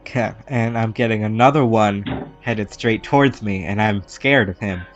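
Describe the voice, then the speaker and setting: A man's voice: fairly low pitch, normal speed. A man playing a tabletop game, talking casually and asking about the rules as he plans moves. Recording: slightly muffled, music underneath. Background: background music.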